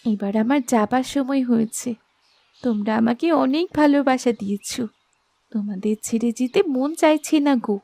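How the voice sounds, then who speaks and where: A woman playing a gentle, affectionate young princess in a fairy tale cartoon. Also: a little high, moderate pace, close to an everyday voice. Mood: tender, sad.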